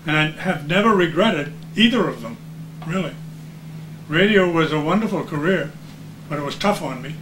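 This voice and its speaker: An older American man reminisces in casual conversation as a guest. His voice is on the high side. It was recorded in an echoey room with light noise behind it. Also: animated, moderate pace. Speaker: retired radio announcer as guest.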